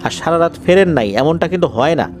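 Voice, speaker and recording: Animated male horror storyteller, higher-pitched voice. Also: quick pace, slight background noise. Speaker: horror story narrator, show host. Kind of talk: conversational storytelling of true ghost accounts.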